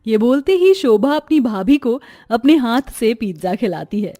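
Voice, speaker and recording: A woman's voice: on the high side, lively intonation, moderate pace, mellow, dry recording, studio quality, soft background music. A woman giving scripted narration of a moral story as its storyteller.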